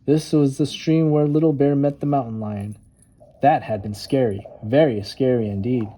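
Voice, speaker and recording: A man reads a storybook aloud for children. His voice is very dark and mellow, and he leans on key words for dramatic effect. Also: mid-range voice, moderate pace. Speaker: storytime reader.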